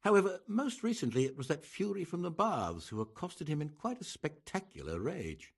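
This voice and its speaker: Game role: pompous craftsman master, husky, highly animated intonation, arch and wry. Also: normal speed, exaggerated voice. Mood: wry disdain. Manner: wordy, arch, theatrical. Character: pompous, sardonic middle-aged medieval master craftsman, wryly disapproving. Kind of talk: scripted game dialogue acting.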